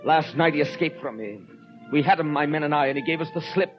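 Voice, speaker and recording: An actor as stern, obsessive police inspector, muffled recording. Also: male voice, a little high, fast, natural voice. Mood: agitated, frustrated. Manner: grave, declamatory, clipped pronouncements. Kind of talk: 1930s radio drama acting, dramatic monologue. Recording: muffled 1937 radio broadcast, music behind.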